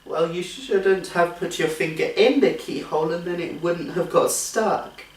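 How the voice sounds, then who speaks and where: A man's voice: high-pitched, unhurried. Man playing a genteel, exasperated nursery teacher, echoey and distant. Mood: firm, exasperated. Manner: polite, singsong coaxing with clipped scolding. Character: genteel, strained-cheerful female nursery teacher, patient but exasperated. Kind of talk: theatrical comic monologue. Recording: echoey room, distant microphone.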